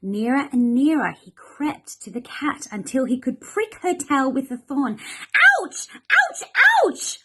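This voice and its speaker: A woman narrates a children's tale expressively. Her voice sits high and swoops around a lot, with a slightly rough, breathy texture. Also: animated, unhurried. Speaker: teacher reading a children's story.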